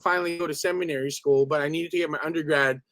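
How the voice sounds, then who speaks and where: A man, a guest on a casual talk show, telling stories with lively quoted voices. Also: fairly high pitch, fast, dry recording. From Philippines. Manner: animated storyteller, quotes people in voices. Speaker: podcast guest. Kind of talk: casual interview chat.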